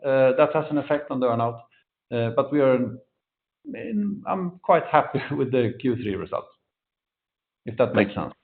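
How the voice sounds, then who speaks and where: Roomy dull call audio, exec guy answering investor questions, halting and repeating himself. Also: mid-range voice, expressive, creaky. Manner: halting, repeats words mid-sentence. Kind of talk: unscripted answers on an earnings conference call. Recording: roomy echo, dull band-limited conference call audio.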